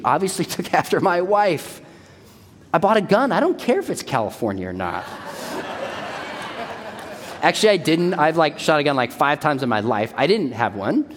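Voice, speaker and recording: A man in his forties giving an emphatic church talk, repeating his key points. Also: fairly high pitch. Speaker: speaker at a church. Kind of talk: sermon-style talk. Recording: long hall reverb.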